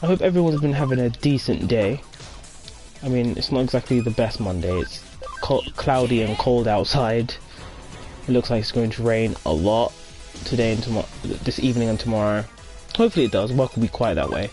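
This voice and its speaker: A man's voice: mid-range voice, a little fast, a little mellow, soft background music. Chatty man rambling over gameplay. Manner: reads game dialogue aloud. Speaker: let's play host. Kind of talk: casual gameplay commentary.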